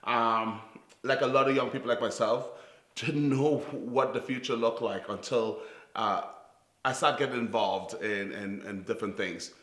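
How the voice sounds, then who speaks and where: A man's voice: medium pitch, fairly even intonation, fairly bright tone. Earnest Trinidad-born man in an echoey room. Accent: Trinidadian with New York influence. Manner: earnest, halting, with repeated restarts. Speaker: youth theatre founder and director. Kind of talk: reflective personal storytelling to camera.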